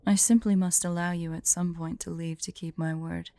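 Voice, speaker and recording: A woman playing a haughty, prickly but secretly fond female dragon in an ASMR roleplay, imperious and deliberate. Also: fairly low pitch, steady tone, warm tone, no echo, natural voice. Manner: imperious, indignant, slow and deliberate.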